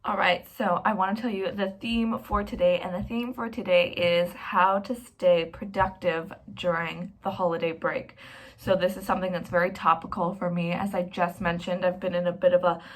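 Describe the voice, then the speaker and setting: A woman's voice: fairly low pitch, level tone, moderate pace. A young woman from Canada talks straight to her viewers in a loose, rambling vlog. She pokes fun at herself as she goes, in an easygoing, conversational voice. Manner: chatty and rambling, self-mocking. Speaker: vlogger. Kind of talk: casual vlog talk to camera.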